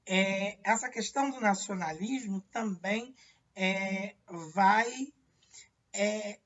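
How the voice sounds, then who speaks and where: A woman with a Brazilian accent teaching a high school history lecture, asking herself rhetorical questions and tagging sentences with "né?". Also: a bit bright, husky. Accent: Brazilian Portuguese. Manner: many tag questions and fillers, rhetorical questions. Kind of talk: classroom lecture. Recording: distant lo-fi classroom recording.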